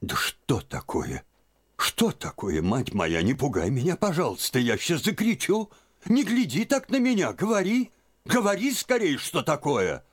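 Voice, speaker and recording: A man voicing an excitable elderly aunt who frets and scolds in a raised voice. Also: normal speed, natural voice. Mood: alarmed. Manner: shrill, fretful, scolding. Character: fussy excitable old aunt, elderly woman.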